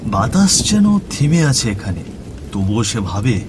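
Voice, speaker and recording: A man's voice: on the low side, sing-song, takes it slowly, background noise. A man narrating a scripted horror story as the storyteller. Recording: music bed with rain and thunder.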